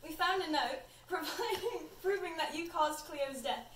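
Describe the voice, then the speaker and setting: Woman playing a very high-pitched, gleefully accusing police officer. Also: a little slow, fairly bright tone. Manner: mocking, breaking into giggles. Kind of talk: amateur read-aloud of fan fiction. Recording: roomy, distant mic, faint noise.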